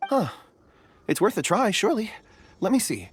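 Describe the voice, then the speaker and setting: A woman playing a reserved, hesitant young person in a video game, with a husky voice and halting delivery. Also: medium pitch, sing-song, slight background noise, lightly acted. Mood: hesitant, uneasy.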